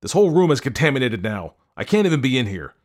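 Audiobook husband, played by a male narrator: domineering, irritable germophobe, emphatic syllables, swinging pitch. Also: expressive, a little fast. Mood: panicked, disgusted. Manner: shouting, hitting syllables hard. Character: angry, germ-phobic, domineering husband.

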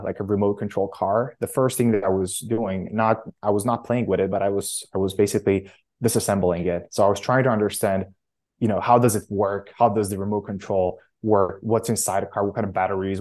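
Halting man, fairly low voice. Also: warm tone. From Romania. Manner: halting, frequent self-restarts and fillers. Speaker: startup founder guest. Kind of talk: casual interview answers.

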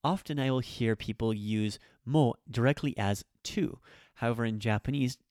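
A man's voice: medium pitch, normal speed, a little mellow, dry recording, clean studio sound. A man hosting a casual grammar lesson, chatty and self-correcting as he walks through examples. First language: English. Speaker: language teacher.

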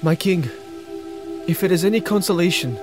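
A courteous messenger addressing his king in an audio drama. His voice is somewhat high and his wording formal and deferential. Light music runs beneath. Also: expressive, normal speed. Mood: solemn. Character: respectful male Cushite messenger bearing battle news to king. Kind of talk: dramatized audio Bible story acting.

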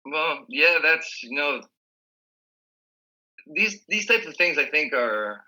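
A man whose first language is Spanish, a guest chatting casually over a call from home, relaxed and restarting his words. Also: on the high side, takes it slowly, poor audio. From Rio de la Plata region. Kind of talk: casual remote interview chat. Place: home in Spain.

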